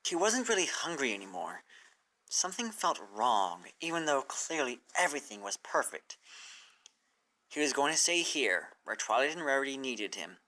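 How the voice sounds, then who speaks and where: Storybook narrator, expressive read-aloud, bright tone, animated pitch. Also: moderate pace, low-quality recording. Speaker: fan fiction narrator.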